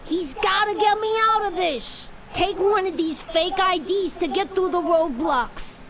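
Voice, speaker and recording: Very high-pitched frantic reporter. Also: female voice, a little slow, a crowd in the background. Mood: frantic, pleading. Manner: urgent, dramatic. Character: frantic, pushy female news reporter. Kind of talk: video game voice acting.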